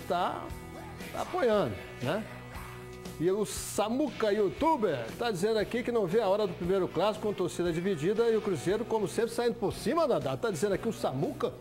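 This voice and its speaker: A middle-aged man from hosts a studio sports chat, speaking in a loose, conversational way with a slightly raised pitch. He is recorded on a lapel mic, with soft music playing underneath. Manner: conversational, frequent "né?" tags. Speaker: sports show host. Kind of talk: studio sports talk, reading viewer messages. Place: TV studio, standing.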